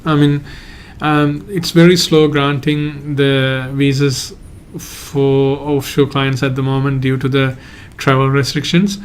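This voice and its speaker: A man from Sri Lanka, a migration agent hosting a live Q&A and answering viewer questions in a halting, hedging way. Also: mid-range voice, flat delivery, slight background noise. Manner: halting, hedges often, reads out viewer questions.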